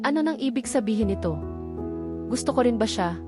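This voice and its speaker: A Filipino woman voicing a guarded young woman in a romance audiobook, soft and reserved in manner. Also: on the high side, animated, a little fast, natural voice. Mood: wondering, confused. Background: background music.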